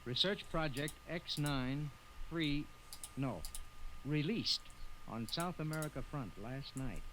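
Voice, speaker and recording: A man playing a weary, resigned military prisoner in a 1950s science-fiction radio drama, halting and grave. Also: a little high, fairly bright tone. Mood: halting dread. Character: weary, doomed military translator held prisoner, grimly resigned. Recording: faintly noisy old radio recording.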